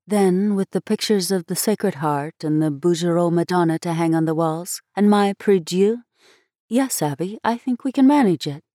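A woman reading aloud, voicing a patient, gentle mother in a storybook. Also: fairly low pitch, moderate pace, no room sound, studio quality, natural voice. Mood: calm. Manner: calm, reflective.

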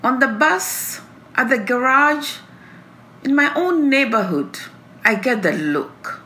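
Slow, bright-voiced woman reciting poetry. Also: high voice, animated. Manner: deliberate, emphatic delivery. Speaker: poet. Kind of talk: introducing and reciting own poem.

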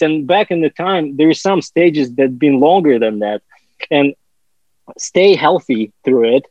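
Man, forties, former ski racer, podcast guest, slightly high pitch, dry close sound, rambling, laughs often. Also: a little mellow, no room sound, lo-fi sound. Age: 40s. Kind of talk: casual podcast chat.

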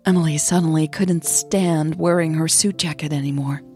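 A woman's voice: low-pitched, fairly even intonation, normal speed, a little mellow, soft background music. A woman narrating a scripted audio drama as its storyteller.

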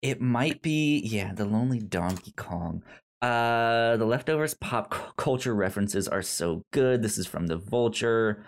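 A man's voice: lowish voice, animated, unhurried. A man co-hosting a casual podcast, talking animatedly, laughing, and reading web quotes aloud. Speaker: podcast co-host.

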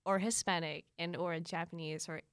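A woman's voice: fairly low pitch, steady tone, moderate pace, smooth, no echo, clean studio sound. A young woman from the Philippines chatting as a podcast guest, friendly and talkative, with many likes and ums. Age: young adult. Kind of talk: casual conversational interview.

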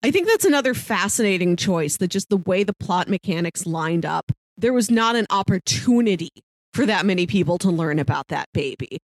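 A woman's voice: lowish voice, lively intonation, brisk, no room sound. A woman co-hosting a casual discussion of a TV series, recapping in an emphatic way and piling up phrases. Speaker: podcast co-host.

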